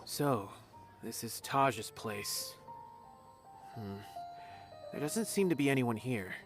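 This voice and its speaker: A young adult man voicing an earnest game character who is quick to protest, speaking over soft game music. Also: medium pitch, animated. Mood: cautious.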